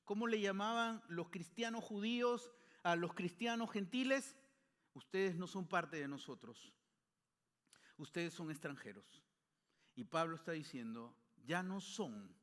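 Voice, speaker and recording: A man preaching a sermon as pastor, earnest, his voice rising on declarations and dropping soft at the ends of phrases. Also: a little high. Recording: long hall reverb.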